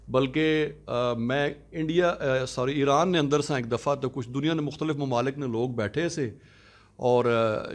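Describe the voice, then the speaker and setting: Middle-aged man. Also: medium pitch. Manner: relaxed, digressive storytelling. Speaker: martial arts academy founder. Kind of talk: conversational self-introduction. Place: conference room. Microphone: handheld mic.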